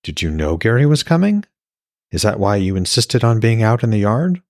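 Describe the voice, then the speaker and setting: A man's voice: lowish voice, very animated, normal speed, darkish tone, no echo, studio quality. A man voicing an anxious, questioning woman in a fantasy audiobook, wary and hesitant. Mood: curious, amazed.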